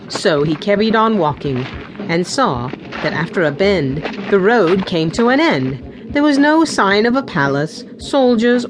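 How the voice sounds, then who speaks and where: A woman narrating a children's storybook audiobook with an expressive storytelling delivery. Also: medium pitch. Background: background music.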